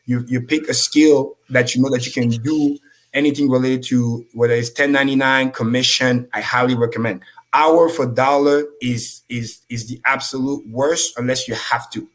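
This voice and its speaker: A man with a middle-pitched voice whose first language is French talks in a casual, high-energy way. He preaches self-improvement on a livestream and swears freely. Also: steady tone, normal speed. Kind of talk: casual motivational talk.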